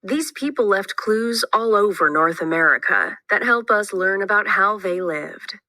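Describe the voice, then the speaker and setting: Bright-voiced woman reading a lesson aloud. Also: medium pitch, lively intonation, a little slow, dry recording. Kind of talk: scripted educational read-aloud narration.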